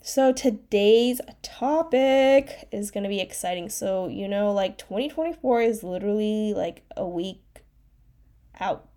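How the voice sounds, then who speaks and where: A woman's voice: medium pitch, a little slow, mellow. A young woman hosting her own podcast, chatting casually and rambling, her voice nasal from a cold. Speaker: podcast host, life coach. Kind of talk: casual solo podcast chat.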